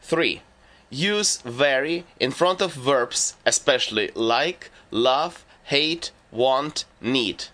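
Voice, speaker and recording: A man giving scripted narration for a language lesson, laying out rules and lists in a measured, deliberate way. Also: medium pitch, animated, sharp tone. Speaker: language teacher.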